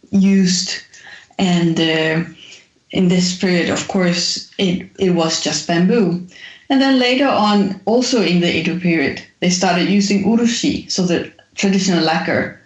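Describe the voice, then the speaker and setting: A woman in her forties who grew up in Denmark, a guest musician explaining at length in a thoughtful, halting way with many fillers. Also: on the low side, roomy sound, rough recording. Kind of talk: explanatory interview talk.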